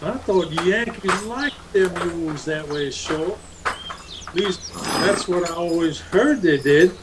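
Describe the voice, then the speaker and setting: An actor playing a sneering, taunting town bully in a vintage radio Western, with a mocking drawl. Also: male voice, high voice, expressive, slow, heavy background noise.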